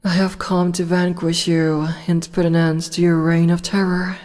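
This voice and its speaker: A woman voicing a regal, amused female demon empress in an ASMR roleplay, talking softly and teasingly with whispered asides. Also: lowish voice, level tone, moderate pace, in a large hall, lightly acted. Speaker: voice actor.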